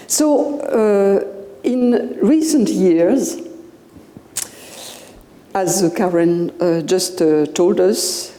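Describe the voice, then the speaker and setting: An older woman with a Belgian French accent, a native French speaker, giving a public lecture in English at a podium microphone, measured and pausing often with "uh". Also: medium pitch, warm tone. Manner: slow, measured, with frequent "uh" pauses. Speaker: guest lecturer. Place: lecture room. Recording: echoey hall with long reverb.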